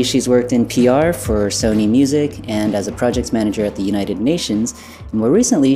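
A woman's voice: very deep voice, mellow. A woman in her thirties hosting a podcast, chatting casually in a friendly interview style. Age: 30s. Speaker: podcast host.

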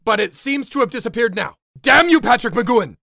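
A man's voice: extremely high pitch, expressive, brisk, gravelly, pushed and bright, dry recording, clean studio sound, exaggerated voice. A man playing an angry, pompous mad scientist in a campy, theatrical radio drama. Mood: angry, grandiose.

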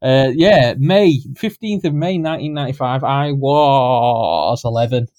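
A man's voice: mid-range voice, animated, unhurried, darkish tone, muffled recording. A man in his thirties hosting a casual podcast chat from home, jokey and rambling, laughing often. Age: 30s. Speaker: podcast host. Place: home, recording remotely.

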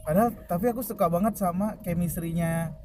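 Person casually chatting films, excited, repeats words, medium pitch, really noisy car-like background. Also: fairly quick. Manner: enthusiastic, informal, repeats words for emphasis. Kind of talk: casual chatty film discussion. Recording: clearly noisy, vehicle-like ambience.

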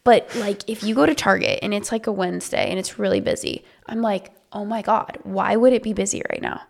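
A woman co-hosting a podcast in casual chat, a bit congested and nasal, self-deprecating and quick to laugh at herself. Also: mid-range voice, animated, vocal fry. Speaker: podcast co-host. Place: home podcast setup.

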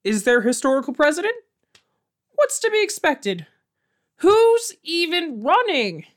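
A woman's voice: high voice, sing-song, unhurried. Woman playing a rambling night radio host. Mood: forced cheer. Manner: rambling, self-interrupting, chatty. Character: flustered, placating female night radio show host. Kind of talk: audio comedy drama in radio show format.

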